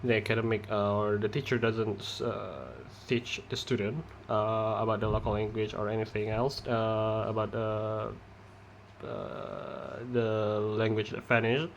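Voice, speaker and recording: A young man from Indonesia, a non-native English speaker with an Indonesian accent, giving a halting class presentation with many pauses and restarts. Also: fairly low pitch, flat delivery, lo-fi sound, slight background noise. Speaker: student presenter.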